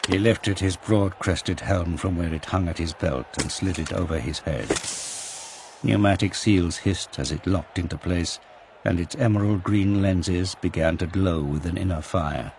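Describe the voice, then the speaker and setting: A man's voice: sits low, a little slow, a little mellow. A man narrating an audio drama in a dramatic, scripted storytelling style. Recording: clear background noise and ambience.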